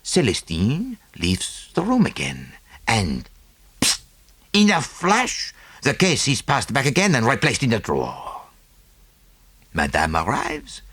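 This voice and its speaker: A dapper little Belgian detective with a French-speaking accent. He is pleased with himself and lectures with relish, his pitch leaping about wildly, in a close, dry audiobook sound. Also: huge pitch swings, slow pace, a bit bright, breathy edge, no echo, full character voice. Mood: relishing explanation. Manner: didactic, punctuated with "eh?" and French phrases. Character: small fussy Belgian detective, self-satisfied, theatrical, tranquil.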